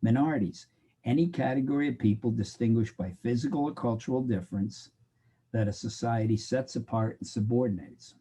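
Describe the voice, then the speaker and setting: Mellow, husky-voiced older man lecturing. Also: medium pitch, brisk. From United States. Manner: conversational, digressive, acts out quoted exchanges. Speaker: sociology instructor. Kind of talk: recorded lecture.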